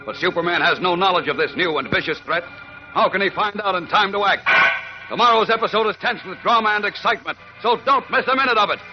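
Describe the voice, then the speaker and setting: A man's voice: sits high, fast talker. An American man narrating a 1940s radio serial in a grave, emphatic, suspenseful style. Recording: dull lo-fi 1940s radio recording. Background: loud dramatic music.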